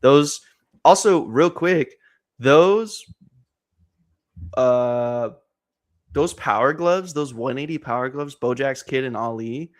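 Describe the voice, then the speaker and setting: A man in his twenties, excitable and energetic, chatting casually about card breaks with lots of fillers. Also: mid-range voice, takes it slowly, pauses often. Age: 20s. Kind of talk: casual chat on a stream.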